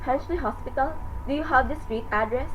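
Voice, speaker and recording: A woman's voice: high-pitched, a little fast, close to an everyday voice. Woman as dull-sounding, courteous information operator. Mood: businesslike. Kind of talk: radio drama acting. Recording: dull, slightly noisy recording.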